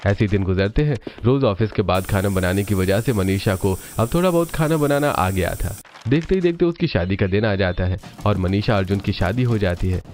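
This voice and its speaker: A man's voice: on the low side, fairly quick, a little mellow, slight background noise. A man narrating a scripted cartoon family story as the storyteller.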